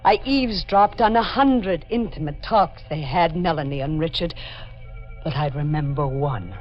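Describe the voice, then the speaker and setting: A woman's voice: sits low, normal speed, lightly acted. An actress playing a bitter, haunted, jealous young woman in a melodramatic 1940s radio horror style. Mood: brooding, haunted. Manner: brooding, melodramatic first-person storytelling. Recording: dull vintage radio recording with faint music.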